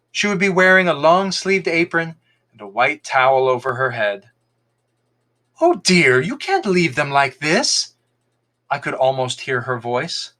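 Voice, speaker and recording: A man narrating a folktale from a script in a measured, expressive storytelling manner, as a podcast reader. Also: medium pitch.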